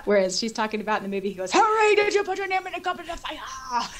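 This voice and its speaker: A woman co-hosting a podcast, chatting and reading comments aloud in an expressive, exclamatory way. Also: high-pitched, fast talker, slight background noise. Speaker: podcast co-host. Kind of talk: casual chat, reading listener comments aloud.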